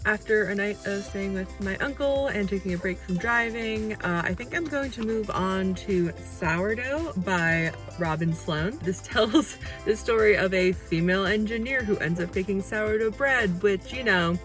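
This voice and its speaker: A woman's voice: mid-range voice, measured pace, few pauses, a bit bright, soft background music. A woman chatting casually and enthusiastically in a bookish, rambling reading vlog. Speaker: book vlogger and author. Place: on a road trip, in car and hotel rooms.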